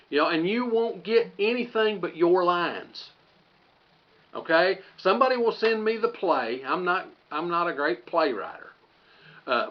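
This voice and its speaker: A man with a slightly high voice chats to his viewers in a folksy, unhurried ramble, working out his thoughts as he speaks. The recording is a little dull and muffled, like a homemade channel video. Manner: folksy, conversational, thinking aloud. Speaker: host of a homestead channel. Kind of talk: casual rambling vlog talk to viewers.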